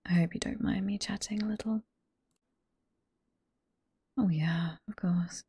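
A woman with a British accent playing a flirty, teasing, sweet head librarian boss in a whispered ASMR roleplay, soft and coy, close to the microphone. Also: mid-range voice, steady tone, measured pace, husky. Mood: welcoming, flirty. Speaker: voice performer in roleplay.

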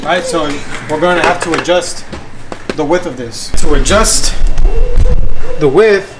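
A man's voice: fairly high pitch, animated, measured pace. A young man giving an informal, slangy, hands-on tutorial as a family vlogger at home. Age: young adult. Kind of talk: casual hands-on product tutorial. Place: living room at home with tiled floor. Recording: clear background room noise.

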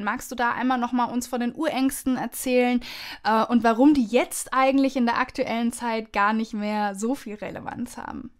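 A woman's voice: a little high, smooth. A woman hosting a podcast interview in a conversational style, reflecting back and asking questions.

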